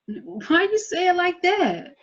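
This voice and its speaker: A woman's voice: very high-pitched, sing-song, takes it slowly, exaggerated voice. Guarded young woman actress, muffled recording. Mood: defensive, proud. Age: young adult. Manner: slangy, blunt, defensive. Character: guarded, driven twenties woman, sharp-tongued and proud. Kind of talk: table read of TV drama script. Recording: dull lo-fi recording.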